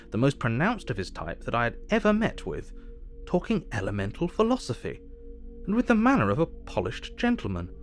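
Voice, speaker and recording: An actor playing an earnest asylum doctor narrating his diary in measured, formal English. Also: fairly high pitch.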